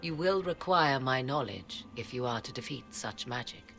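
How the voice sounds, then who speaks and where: An actress playing a haughty, cultured sorceress at an imperial court in a video game, speaking in formal, archaic, aloof phrases. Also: female voice, rumbling low, expressive, moderate pace, gravelly, a bit bright, soft background music, exaggerated voice. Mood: aloof, measured. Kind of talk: theatrical video game acting.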